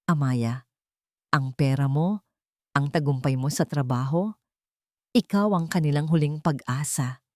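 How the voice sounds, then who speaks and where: A woman narrator acts out an elderly housemaid in her sixties from an audio storybook. The voice is low and confiding, carrying bitterness and secrets. The sound is dry. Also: no room sound. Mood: secretive urgent. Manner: hushed, confiding. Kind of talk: audio storybook character dialogue.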